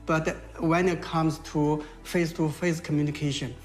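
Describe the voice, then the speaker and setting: A man in his sixties with a Mandarin Chinese accent, his voice sitting somewhat high. He talks in a halting, reflective way, pausing often and reaching for words. Quiet music runs underneath. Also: little pitch movement. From China. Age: 60s. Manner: halting, with many pauses and fillers. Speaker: interviewee. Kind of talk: reflective interview answers.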